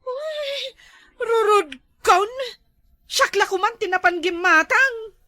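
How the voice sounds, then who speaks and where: A woman playing a sociable, nagging, jealous wife in an Ilocano village comedy drama, speaking with many drawn-out exclamations. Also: high voice, very animated, pushed and bright, no room sound, studio quality, heavily acted. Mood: jealous, indignant.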